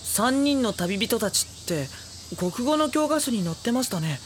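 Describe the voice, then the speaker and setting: A woman playing a dry, exasperated high school boy in an anime audio drama, with deadpan retorts. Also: normal speed, slight background noise.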